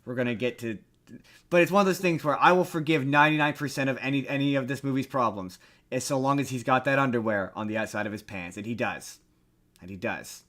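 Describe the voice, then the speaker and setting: A man's voice: medium pitch, fast talker, a bit bright. A man hosting a podcast with casual comedic chat, talking animatedly and repeating phrases for comic emphasis. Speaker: podcast host. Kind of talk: casual comedic chat about pop culture.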